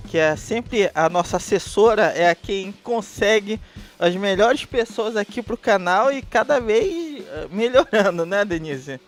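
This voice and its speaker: A man hosting a casual podcast, upbeat and handing the word to each guest. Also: high-pitched. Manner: upbeat, handing over turns. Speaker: podcast host.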